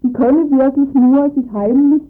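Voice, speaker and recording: High-voiced woman on tinny radio recording. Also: steady tone, moderate pace. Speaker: interviewed local resident. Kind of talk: informal radio discussion.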